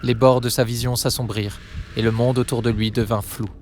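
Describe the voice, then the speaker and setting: A man narrating a dramatic audio story in a grave, measured manner. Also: mid-range voice, flat delivery, noisy background.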